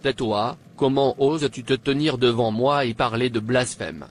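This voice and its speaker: A man's voice: mid-range voice, normal speed, lightly acted. A man playing an arrogant, tyrannical king in a dubbed cartoon, speaking in an imperious, boastful manner. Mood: angry, boastful. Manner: imperious, boastful, indignant questioning. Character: arrogant, tyrannical pagan king demanding obedience.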